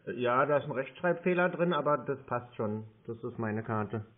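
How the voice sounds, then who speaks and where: Calm man on a tinny phone line. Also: mid-range voice. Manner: calm and unhurried, reading digits one by one. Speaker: caller posing as a card holder. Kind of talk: phone call.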